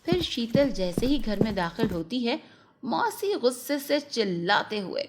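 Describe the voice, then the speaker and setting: High, animated woman fairy-tale narrator. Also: unhurried, heavy background noise. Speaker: storyteller.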